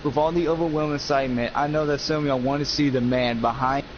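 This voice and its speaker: A man's voice: medium pitch, fairly even intonation, quick pace. An eighteen-year-old man chatting casually as a gaming co-host, earnest and emphatic, often repeating himself. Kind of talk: casual chat over gameplay. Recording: noisy, muffled lo-fi mic.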